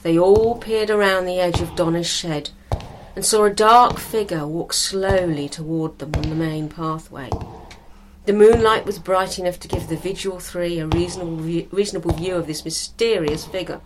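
A woman reading a scripted story as narrator, in a measured, expressive storytelling manner. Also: fairly low pitch, slight background noise, poor audio.

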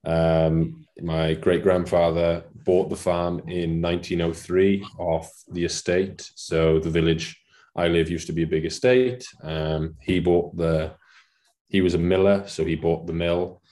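A man's voice: deep voice, little pitch movement, unhurried, dark tone. A Welsh man in his late twenties, a farmer guest chatting casually in an interview, self-deprecating and laughing at his own jokes. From Wales.

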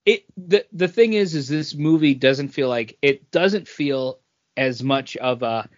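A man co-hosts a casual film review podcast, talking things through conversationally. His medium-pitched voice sits in a dry recording with a slightly dull, lo-fi sound. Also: a little slow, no room sound. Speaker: podcast co-host. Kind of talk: casual movie review chat.

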